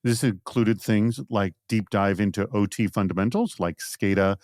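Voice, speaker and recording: A man's voice: on the low side, steady tone, normal speed, a little mellow, no room sound, clean studio sound. A man hosting a panel podcast, reading out prompts and putting questions to guests. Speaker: podcast host.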